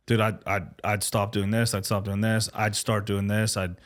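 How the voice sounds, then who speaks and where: A man's voice: lowish voice, level tone, a little slow. A man in his thirties hosting a casual podcast. He talks conversationally and often trails off mid-thought. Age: 30s. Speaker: podcast host.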